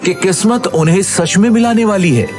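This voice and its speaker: A man's voice: high voice, normal speed, music in the background. A man narrating a Hindi audio drama in a dramatic, scripted storytelling style.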